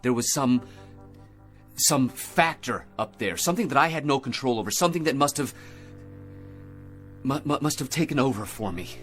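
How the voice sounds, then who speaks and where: An actor playing a desperate, bewildered astronaut in a radio drama, with an urgent, strained delivery. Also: male voice, mid-range voice, expressive, moderate pace, long pauses, a bit bright, soft background music, close to an everyday voice. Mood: distressed, insistent.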